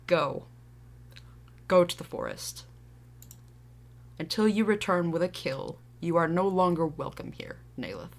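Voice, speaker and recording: A woman's voice: on the low side, big pitch swings, a little slow, long pauses. Woman playing a stern, domineering warrior mother. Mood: cold, commanding. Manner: commanding, scornful.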